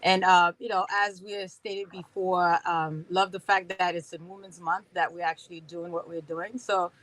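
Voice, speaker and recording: A woman's voice: fairly low pitch, little pitch movement, normal speed, a bit bright, poor audio. A woman from Haiti speaking at a conference, chatty and sprinkled with "right?" and fillers. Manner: conversational, many fillers and "right?" tags. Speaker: conference speaker, company founder. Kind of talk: motivational conference talk.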